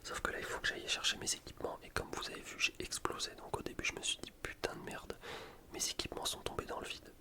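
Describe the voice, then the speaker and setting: A man softly whispering ASMR gameplay commentary in an informal, rambling, self-deprecating way. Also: fast talker. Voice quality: soft unvoiced whisper. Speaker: gaming video creator.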